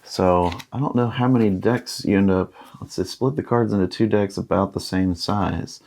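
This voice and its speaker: A man in his thirties with a deep, low voice. He talks casually as an unboxing host, musing aloud in a laid-back way and sometimes reading from what is in front of him. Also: moderate pace, creaky, mellow. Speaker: board game unboxing host.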